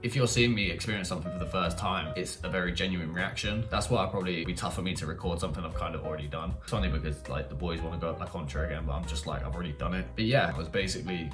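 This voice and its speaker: Deep-voiced young English-accented man. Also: rapid-fire, few pauses, over music. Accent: English, London-leaning. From Marbella, Spain. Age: young adult. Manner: informal, candid, rambling with frequent "like" and "you know". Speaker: YouTuber. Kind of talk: casual personal Q&A to camera.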